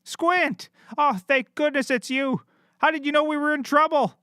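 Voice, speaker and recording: A man's voice: extremely high pitch, steady tone, normal speed, sharp tone, no room sound, clean studio sound. A man voicing a hapless, panicky cartoon private detective with a whiny, flustered manner. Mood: relieved. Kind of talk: scripted comedy cartoon acting.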